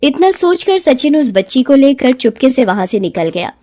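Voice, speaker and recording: A woman tells a scripted moral story in a fairly high voice. Her pitch moves in lively swings, giving the narration an expressive, dramatic storybook feel. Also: normal speed.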